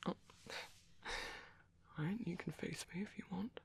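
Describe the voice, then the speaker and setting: A man playing a tender, caring vampire priest in an ASMR roleplay, speaking softly and hesitantly in a soothing, coaxing way. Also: high-pitched, measured pace, heavily acted. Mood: tender concern. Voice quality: soft, breathy, hushed. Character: caring, tender vampire priest comforting his sleepy partner.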